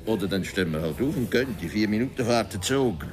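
A man's voice: on the low side, expressive, fast talker, natural voice. An actor playing an educated, talkative man in a radio drama, speaking Swiss German in a knowing way. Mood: jovial. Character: educated, talkative man, courting but quick to bristle.

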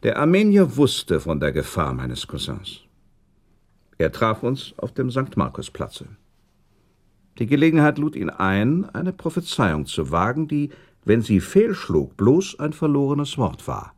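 An actor playing a rational, self-assured prince in a German radio drama, speaking in formal, deliberate, rhetorical phrases. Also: male voice, mid-range voice, wildly swooping pitch, full character voice. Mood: reasoning, insistent. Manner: measured, rhetorical, deliberate delivery.

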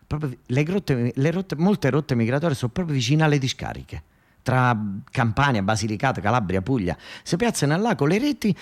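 A man with a southern Italian accent performs a theatrical, colloquial storytelling monologue, emphatic and halting, often repeating his words. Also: mid-range voice.

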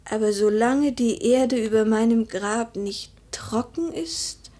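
Proud young noble widow in mourning: higher-pitched, breathy, solemn, classic radio drama. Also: female voice, slow, warm tone. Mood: anguished. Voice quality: breathy, often dropping to a whisper. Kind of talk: German literary radio drama acting.

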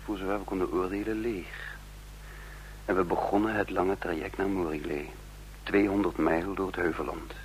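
An actor playing a resourceful fugitive leader in a Dutch radio drama, explaining and commanding patiently. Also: male voice, low-pitched. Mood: sombre narration. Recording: noisy old radio drama recording.

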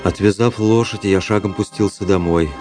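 An actor playing a proud officer who somberly recounts his duel, in measured, literary radio-drama narration. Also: on the low side, a little mellow, soft background music, close to an everyday voice. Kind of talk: first-person dramatic narration in a radio play.

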